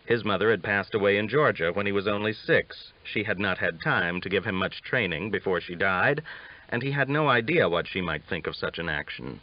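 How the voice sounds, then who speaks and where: Male audiobook narrator for a western novel, lowish voice, dull lo-fi recording. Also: moderate pace.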